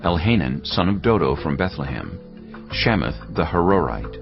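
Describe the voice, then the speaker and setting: A man with a gravelly, husky voice giving solemn, measured scripted narration of a dramatized Bible. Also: low voice, sing-song, highs cut off, music in the background.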